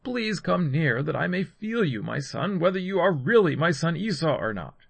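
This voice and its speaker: A man's voice: a little high, sing-song, moderate pace, vocal fry, slightly rough, dry recording, pitched above the performer's own voice, exaggerated voice. A man voicing an aged, solemn father who declaims to his sons as in a scripture story. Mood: searching, tender.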